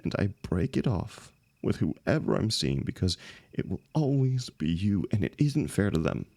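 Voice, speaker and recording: A man acting a lovelorn young heartbreaker in an intimate, emotional audio roleplay, speaking haltingly and rawly to a longtime friend. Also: sits low, big pitch swings, creaky, dark tone, no room sound, studio quality, heavily acted. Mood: desperate, heartbroken. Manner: halting, stammering, swearing, emotionally raw.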